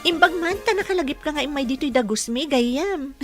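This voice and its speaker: A woman's voice: high voice, animated, a little fast, over loud music. A woman playing a caring, respectful nurse in a radio drama, polite and encouraging. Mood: friendly.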